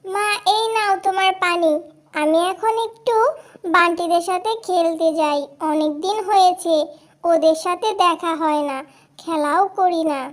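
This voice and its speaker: A woman's voice: very high voice, fairly even intonation, unhurried. A woman voicing an eager, tender-hearted little girl animal in a cartoon fairy tale, earnest and pleading. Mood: earnest, hopeful.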